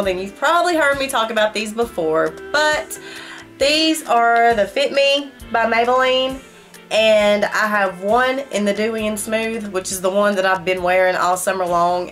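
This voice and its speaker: A woman's voice: mid-range voice, fairly bright tone. A middle-aged woman, a beauty vlogger, reviewing makeup to camera in a casual, emphatic way, her nose stuffed up from a cold. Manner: chatty and emphatic, talking straight to viewers. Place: small home room. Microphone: camera facing her at desk distance.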